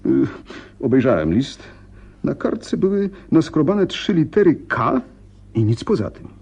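An actor in a radio drama playing a frightened, helpless young gentleman, telling his story hesitantly and dramatically, with pauses. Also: fairly high pitch, sing-song, breathy edge, heavily acted. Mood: uneasy, narrating.

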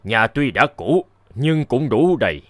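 A man playing a mysterious, solemn old monk in a folk-tale cartoon, speaking in a drawn-out manner. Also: medium pitch, sing-song, no room sound. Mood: solemn welcome. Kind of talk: animated folk-tale dubbing.

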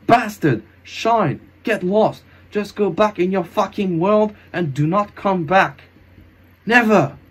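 A man playing an anguished, devoted young swordsman in an amateur anime fan drama, with melodramatic, halting delivery and stilted English over music. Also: mid-range voice, husky. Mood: enraged.

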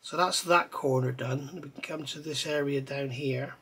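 A husky, bright-voiced model builder. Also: male voice, mid-range voice, moderate pace. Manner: relaxed, rambling, talks while working with his hands. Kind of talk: hands-on tutorial with chatty asides. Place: indoor hobby workbench. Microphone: overhead camera on workbench.

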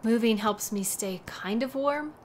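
Audio drama lead: actress as devoted young woman, worried, tender, slow delivery, light ambient noise behind. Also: on the high side, lightly acted. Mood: weary, protective. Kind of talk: audio roleplay drama, intimate monologue.

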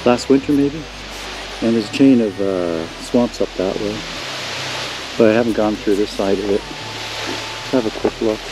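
An older man, a homesteader who casually narrates as he walks outdoors through woods and swamp, pointing things out in a relaxed, rambling way. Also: lowish voice, measured pace, pauses often, background noise. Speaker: homesteader vlogger.

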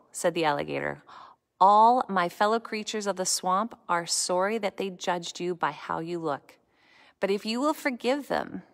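A middle-aged woman giving a children's sermon in a large church sanctuary, speaking gently and explaining things to children. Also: medium pitch. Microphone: camera in front, at close range. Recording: long church reverb.

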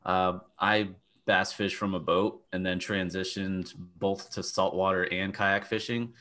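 Casting: young man, very low voice, plain practical fishing coach on a video call. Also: level tone, measured pace, bright, forceful tone. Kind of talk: casual panel chat.

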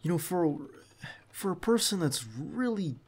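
A man acting a tense, loyal, protective bodyguard in an ASMR roleplay, speaking in a halting, earnest and reassuring way. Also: sits high, very animated, darkish tone, a little hiss or hum. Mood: probing, uneasy.

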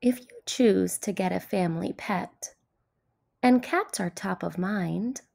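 A woman hosting a children's story time, reading aloud in an expressive, deliberate storytelling delivery. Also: mid-range voice, creaky. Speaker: storyteller, host of a read-aloud show.

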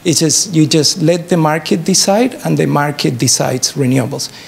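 A man's voice: on the high side, moderate pace, creaky. A middle-aged man with a Chilean accent and native Spanish, answering an audience question as a professor, emphatic and fond of rhetorical questions. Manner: animated explaining, short emphatic statements, rhetorical questions. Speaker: professor giving a talk. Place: university lecture theatre. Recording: noisy lecture hall recording.

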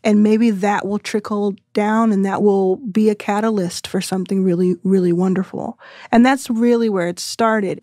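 A woman in her thirties talks through her reasoning in an earnest, measured way, at a middling pitch. The sound is dry and close, with no room around her. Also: a little mellow, no echo. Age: 30s. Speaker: guest investor. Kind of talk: reflective podcast interview.

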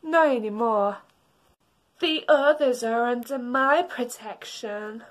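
A woman's voice: on the high side, slow pace. Cold, menacing puppet master played by a woman. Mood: cold menace. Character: menacing, possessive puppet master guarding others. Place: small bedroom.

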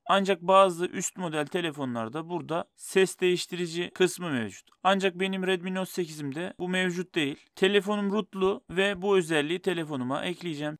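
Clear high-pitched man giving a tutorial. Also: fairly bright tone, dry recording, clean studio sound. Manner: methodical, step-by-step. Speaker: tech tutorial host. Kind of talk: instructional phone tutorial narration.

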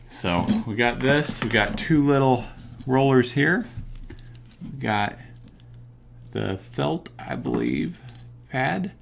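A middle-aged man with a fairly low voice and plenty of fry talks through a hands-on task casually, as if thinking aloud. He sounds like a video host at a table indoors, with some noise in the recording. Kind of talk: casual hands-on teardown commentary. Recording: noticeable background noise.

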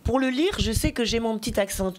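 A woman poet and teacher giving an interview, telling stories with many asides and then reading her poetry slowly and deliberately. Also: a little high, expressive. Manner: digressive, chatty storytelling with asides; slow, deliberate poetry recitation.

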